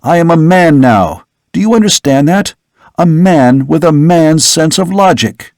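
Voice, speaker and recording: A man's voice: fairly high pitch, unhurried, a little mellow, dry recording, clean studio sound, voice pushed up. A man reading an earnest young son in an audiobook, who pleads insistently and with passion. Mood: impassioned.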